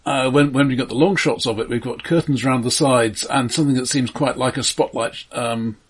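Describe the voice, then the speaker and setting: A man's voice: medium pitch, steady tone, brisk, slightly rough. A man co-hosting a casual, analytical film discussion, hesitant and thoughtful in his phrasing. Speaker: podcast co-host.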